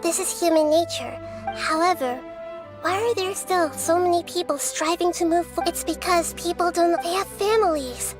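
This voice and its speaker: An actress voicing a wise, kindly young girl deity in a video game, measured, earnest and gently didactic. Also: very high voice, over music. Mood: gently solemn.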